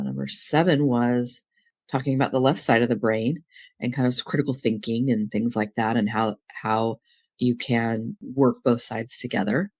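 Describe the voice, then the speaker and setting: A woman's voice: rumbling low, a little mellow, dry recording. A woman co-hosting a nursing podcast, a registered nurse with a cold that makes her sound nasal, chatting casually with many fillers. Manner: chatty, lots of fillers and affirmations.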